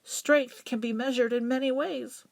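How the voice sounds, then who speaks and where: A woman's voice: high voice, fairly even intonation, gravelly, no room sound, voice pushed up. A woman voicing a frail, humble old grandmother spider in a weak, patient, whispery voice for a storybook. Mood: quietly firm. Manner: patient, measured.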